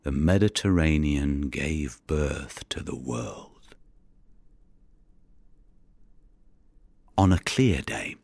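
An extremely low male voice plays a haunted Mediterranean fisherman in an audio play. He is husky and breathy, telling his story slowly and broodingly. The sound is dry and close. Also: no room sound, heavily acted. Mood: reflective, lyrical. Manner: hushed, deliberate, brooding storytelling. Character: haunted, weary Mediterranean fisherman who recovers drowned bodies. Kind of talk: dramatic audio monologue.

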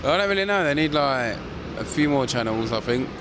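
A man's voice: mid-range voice, animated, a little fast, noisy background. A man from the public giving short, offhand answers in a street interview. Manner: terse, offhand. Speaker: member of the public. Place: outdoors on a street.

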